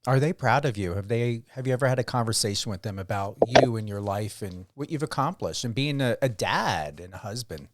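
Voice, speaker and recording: A man's voice: fairly low pitch, lively intonation, a little fast, hardly any pauses. A man hosting a radio interview, asking casual questions with short, friendly prompts. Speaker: radio host.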